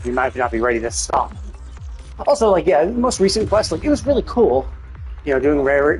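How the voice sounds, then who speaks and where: A man's voice: mid-range voice, rough recording. A man streaming a game, giving casual, rambling commentary as he thinks aloud and swears freely. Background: game music.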